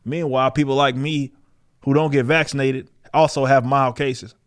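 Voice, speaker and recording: A man's voice: mid-range voice, steady tone, moderate pace. A man giving casual, opinionated podcast commentary in a combative, emphatic manner with plenty of swearing. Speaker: podcast host.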